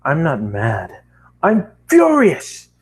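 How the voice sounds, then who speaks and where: A man voicing his own enraged younger self in a spoken story, shouting at a companion after losing his job. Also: mid-range voice, animated, takes it slowly. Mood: furious.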